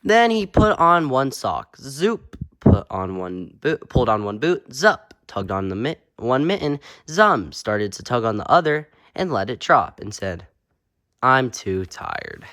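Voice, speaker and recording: A man's voice: medium pitch, very animated, a little slow, hardly any pauses, a bit bright, no echo, studio quality. A man reading a children's picture book aloud with playful, sing-song emphasis and punchy sound-effect words. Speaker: read-aloud storyteller.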